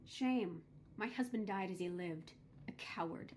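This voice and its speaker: A woman's voice: mid-range voice, a little slow, a little mellow, a little hiss or hum, natural voice. An actress playing an imperious, cold but doting mother in a video game, with haughty, dramatic delivery. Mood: composed, cold.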